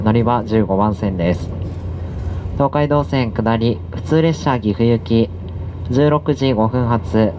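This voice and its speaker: A man making formal onboard train announcements as conductor, in clipped, formulaic phrases. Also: mid-range voice. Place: inside a moving train car. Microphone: train PA, recorded from a passenger seat. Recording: noisy onboard PA captured in train car. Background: loud train running noise.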